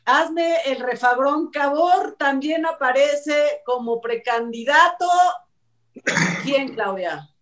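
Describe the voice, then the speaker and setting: A woman's voice: high-pitched, slow, fairly bright tone. A middle-aged Mexican woman co-hosting an entertainment show in casual panel chat. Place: indoor room with wooden blinds. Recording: roomy, echoey video call.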